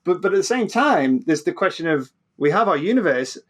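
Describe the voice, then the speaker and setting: A man's voice: sits high, sing-song, a little fast. A man with a British accent explains ideas informally as an interview guest, often repeating words and restarting his sentences. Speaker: podcast guest, physicist and author.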